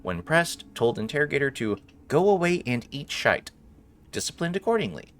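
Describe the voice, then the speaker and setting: A man's voice: medium pitch, sing-song, moderate pace, fairly bright tone, voice pushed up. A man reading out a formal interrogation log in a clipped, officious manner. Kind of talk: dramatised document read-aloud.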